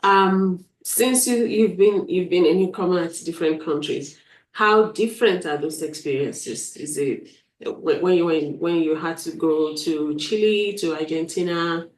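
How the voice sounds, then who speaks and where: A woman's voice: lowish voice, measured pace. A woman hosting a live stream interview, enthusiastic, often repeating words as she frames her questions over a video call. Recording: roomy, distant from mic.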